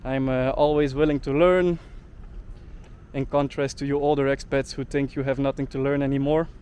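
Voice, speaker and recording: A man's voice: medium pitch, level tone, moderate pace, mellow, smooth. Indignant young man ranting outdoors. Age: young adult. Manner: indignant, heavy use of "like" fillers. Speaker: travel vlogger. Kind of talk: ranting vlog monologue.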